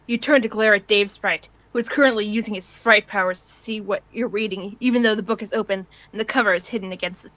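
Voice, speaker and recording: A woman's voice: medium pitch, fast talker, few pauses, fairly bright tone, no echo, low-quality recording. A woman narrating a piece of fan fiction in the second person, as an amateur reader.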